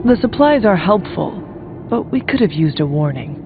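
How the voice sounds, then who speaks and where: Earnest formal hero in a game, high voice, calm n literal, music behind. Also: male voice, normal speed, narrow, tinny audio. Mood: earnest, questioning. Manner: formal, literal, avoids contractions. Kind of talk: video game dialogue acting.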